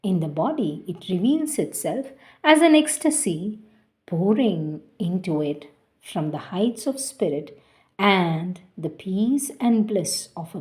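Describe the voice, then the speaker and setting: A woman reads a spiritual text aloud at a very slow, unhurried pace. Her voice is mellow and fairly low. She is measured and reverent, and her phrases fade softly at their ends. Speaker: devotional reader. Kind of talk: slow devotional reading.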